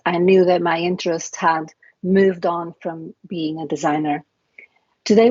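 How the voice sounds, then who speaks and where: A middle-aged woman from Portugal giving a prepared conference talk in a measured, explanatory way, with frequent fillers. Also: on the low side, a little mellow, breathy edge, no room sound, muffled recording. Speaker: conference speaker.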